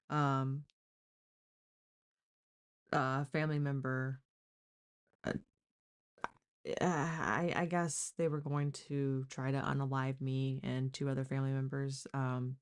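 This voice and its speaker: Woman, very deep smooth voice, casual live stream chat, hesitant and halting. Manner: hesitant, halting, thinking aloud.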